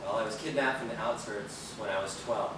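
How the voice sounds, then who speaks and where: An actor on stage playing a guarded, world-weary rebel who speaks in terse, understated replies. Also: male voice, fairly low pitch, fairly even intonation, a little fast, recorded from a distance, close to an everyday voice. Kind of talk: theatrical stage acting. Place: theater stage, picked up from a distance. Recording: roomy, noisy videotape of stage play.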